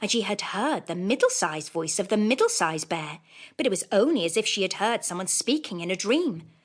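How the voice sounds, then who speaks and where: A woman narrating a children's audiobook as scripted storytelling, in an expressive manner. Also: mid-range voice, a little fast.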